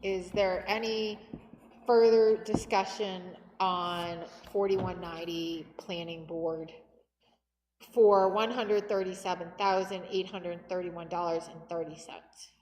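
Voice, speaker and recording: Slow-speaking woman chairing a town meeting. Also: fairly low pitch, steady tone, darkish tone. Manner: businesslike, reads out budget lines and calls votes. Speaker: committee chair. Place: town hall meeting room. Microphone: table microphone. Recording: echoey hall, faint background noise.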